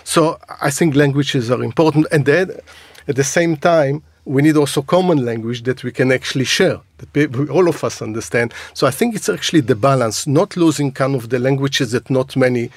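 A middle-aged man, a native Hebrew speaker and university lecturer, talking in a radio discussion with many halting restarts. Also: mid-range voice, dry recording. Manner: hesitant, many restarts and repeats.

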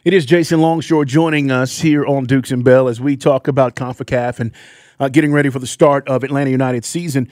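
A man's voice: mid-range voice, steady tone, fairly quick, a little mellow, dry recording, clean studio sound. A man hosting a radio sports talk show, asking his guest casual, hesitant questions.

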